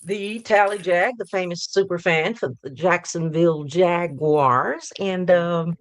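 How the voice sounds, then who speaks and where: A hoarse woman hosting a live sports fan talk show, upbeat and rambling, repeating her hype lines. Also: on the low side, measured pace, no room sound. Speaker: host.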